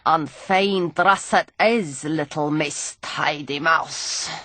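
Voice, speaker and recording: Lady doing a gross old drunk guy, cracked raggedy voice, slow sneery drawl, low and muttery. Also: a bit bright, no echo, heavily acted. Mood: sly, wheedling. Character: drunken grimy old male street musician, sly.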